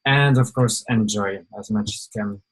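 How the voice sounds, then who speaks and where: A man's voice: lowish voice, moderate pace, very noisy. A young man from Poland, giving an informal webinar presentation over a video call, hesitant with many fillers and restarts.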